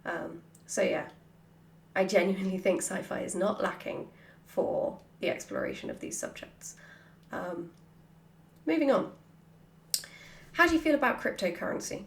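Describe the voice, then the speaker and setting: A woman chatting casually and thoughtfully as an author answering questions from followers, with an animated manner. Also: mid-range voice, normal speed, creaky, long pauses. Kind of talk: casual Q&A chat. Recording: slightly roomy, echoey.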